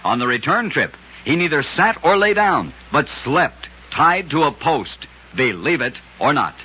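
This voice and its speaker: A man's voice: fairly high pitch, big pitch swings, a little slow, muffled recording. A man hosting an old-time radio show, narrating scripted oddities in a dramatic, emphatic way on a vintage recording. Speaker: radio storyteller and host.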